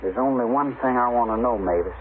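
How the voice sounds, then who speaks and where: An actor playing a proud, stubborn frontier rancher in an old radio drama, with an emphatic, folksy rural Western American accent. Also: male voice, mid-range voice, fast, natural voice. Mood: puzzled, grave. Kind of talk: 1950s radio drama acting. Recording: tinny old radio recording, faint noise.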